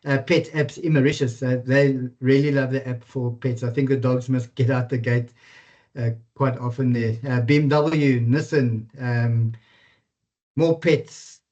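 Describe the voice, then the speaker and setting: A man casually presenting his business software on a webinar, with many ums and uhs. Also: medium pitch, steady tone, measured pace. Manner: casual, filler-heavy. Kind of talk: product presentation. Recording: dull lo-fi video-call audio.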